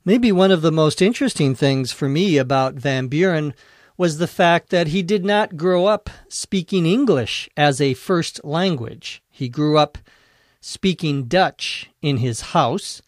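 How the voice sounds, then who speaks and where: A man hosting an English-learning podcast, speaking slowly and deliberately, spelling out words letter by letter and slipping in dry jokes. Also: a little high, no echo. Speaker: English teacher and podcast host. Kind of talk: slow, clear ESL podcast explanation.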